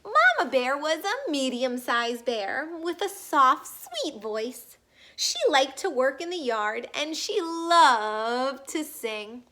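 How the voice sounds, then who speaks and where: Very animated, high-voiced woman reading to children. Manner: animated, emphatic, drawn-out key words. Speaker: storybook reader. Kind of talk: expressive read-aloud for young children, with question asides.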